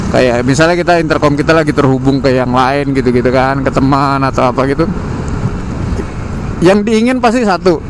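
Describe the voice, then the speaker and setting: A man, chatting informally in a halting solo vlog while riding, often repeating words for emphasis. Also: medium pitch. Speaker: motovlogger and product reviewer. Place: outdoors, riding a motorcycle on the road. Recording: loud motorcycle and road noise. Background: engine, traffic and outdoor noise.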